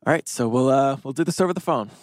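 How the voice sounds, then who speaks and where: A man's voice: mid-range voice, fast talker, no echo, studio quality, natural voice. A man reading the part of a neurotic, wisecracking, lovesick teenage boy in a TV drama table read. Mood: anxious, wry.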